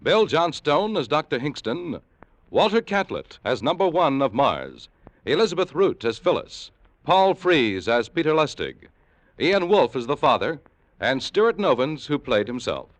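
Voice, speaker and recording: A man's voice: on the high side, sing-song, measured pace, dry recording. A man with an American accent, a polished, emphatic announcer in mid-century radio style. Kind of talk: 1950s radio announcing and sponsor read.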